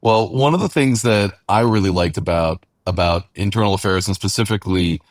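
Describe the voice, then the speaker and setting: A man's voice: on the low side, fairly quick, no room sound, clean studio sound. A man talking casually as a podcast host, discussing his show in unscripted conversation.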